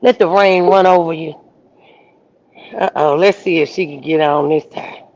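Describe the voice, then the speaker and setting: A woman minister hosting a livestream, preaching outdoors in the rain with repetition and rising shouts. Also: low voice, moderate pace, highs cut off. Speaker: minister and show host. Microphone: phone livestream.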